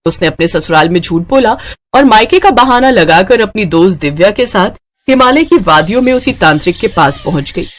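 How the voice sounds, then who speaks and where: A woman narrates a scripted moral story. Her voice has an average pitch, and she tells the tale as a storyteller would. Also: expressive, fairly quick.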